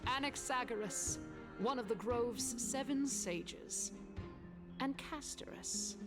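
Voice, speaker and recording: A woman's voice: a little high, slow pace. A woman playing a proud, persuasive council elder in a fantasy game, speaking in formal, grandiose oratory. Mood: grand, persuasive. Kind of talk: scripted fantasy game acting. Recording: game music behind the voice.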